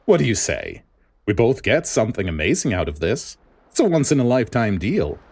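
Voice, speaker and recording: A man voicing a smug, middle-aged director who wheedles in an oily, drawn-out way, in a story reading. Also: lowish voice, huge pitch swings, a little mellow, breathy edge, pitched above the performer's own voice, heavily acted. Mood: smug wheedling. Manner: oily, drawn-out persuasion, stammering when cornered.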